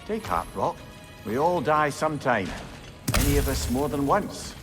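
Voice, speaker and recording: A man's voice: mid-range voice, sing-song, moderate pace, long reverb tail, over music, lightly acted. A man voicing a wry, droll, worldly companion to a warrior in a video game. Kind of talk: video game character acting.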